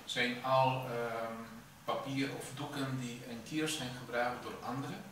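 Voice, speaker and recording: A middle-aged man, an artist answering interview questions in a halting way, searching for words. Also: mid-range voice, fairly even intonation. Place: indoor art gallery with bare floor. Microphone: camera a few metres away. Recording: echoey room, distant mic, clear background noise.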